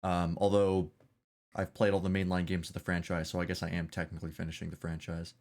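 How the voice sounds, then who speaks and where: A man's voice: low voice, flat delivery, fairly quick, a little mellow. A man streaming a game, chatting casually and rambling with self-deprecating jokes.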